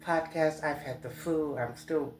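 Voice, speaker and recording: A hoarse woman with a stuffed-up nose hosting a casual solo podcast, rambling with many restarts and fillers. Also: low-pitched, measured pace, roomy sound, noisy background. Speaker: podcast host and songwriter.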